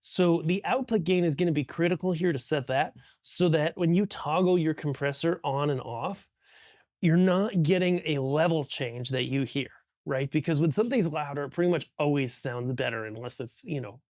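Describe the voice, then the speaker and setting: A man's voice: a little high, moderate pace, a little mellow, no echo, studio quality. A man explaining things as an audio instructor in an informal, conversational tutorial, thinking aloud as he goes.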